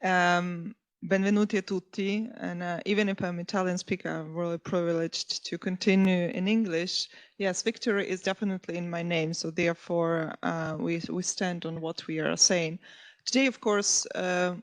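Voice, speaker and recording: A woman's voice: lowish voice, fairly even intonation, normal speed. Earnest woman panelist. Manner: earnest, restarts and self-corrections. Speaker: historian and panelist. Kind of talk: conference panel talk.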